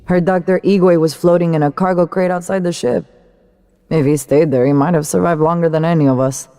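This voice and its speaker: A man's voice: a little high, fairly even intonation, brisk, dark tone, clean tone, in a large hall, soft background music, natural voice. A man playing a grim, matter-of-fact space station crewman in a video game. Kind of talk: video game drama acting.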